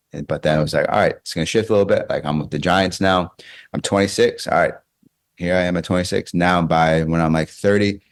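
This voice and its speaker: A man's voice: a bass voice, no echo, clean studio sound. An American man in his thirties talking casually and reflectively as an interview guest, often repeating phrases as he thinks aloud. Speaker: baseball coach interviewed as podcast guest.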